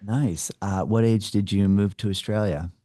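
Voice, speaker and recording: Low, mellow-voiced male podcast host. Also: normal speed, dry recording, clean studio sound. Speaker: podcast host interviewing a guest. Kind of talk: casual conversational interview.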